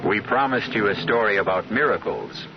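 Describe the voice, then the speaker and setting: A man hosting and narrating a radio drama in measured, dramatic scripted storytelling. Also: fairly low pitch, over loud music. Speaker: host and narrator. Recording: dull, humming old radio recording.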